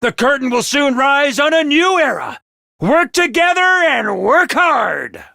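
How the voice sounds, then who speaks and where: An actor playing a theatrical male academy commander who swings from booming cheer to menace, in a game. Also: sing-song, measured pace, gravelly, bright, forceful tone, no echo, clean studio sound, heavily acted. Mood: rousing. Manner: booming, over-the-top cheer. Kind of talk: video game voice lines.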